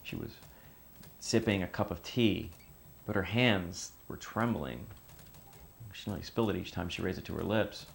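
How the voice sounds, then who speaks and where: Casting: earnest, anxious boyfriend in film drama; very low, creaky voice, halting confessional delivery. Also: male voice. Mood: somber, tender. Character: earnest man anxious for his girlfriend, recounting family conflict. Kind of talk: naturalistic film monologue, interview-like testimony. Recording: clear steady background noise.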